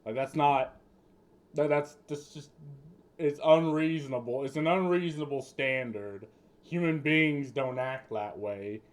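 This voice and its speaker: Slow-talking man ranting casually. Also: mid-range voice. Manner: rambling, swears often, restarts mid-sentence. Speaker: streamer reacting to news clips. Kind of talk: casual political commentary.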